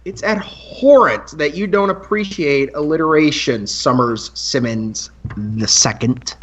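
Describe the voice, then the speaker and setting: High-voiced playful teenage boy radio host played by a man. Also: unhurried, fairly bright tone. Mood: playful, wry. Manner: playful, wordy announcer patter. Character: male eleventh-grade student co-hosting a campus radio show, playful, wordy. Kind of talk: amateur radio play, chatty campus radio announcing.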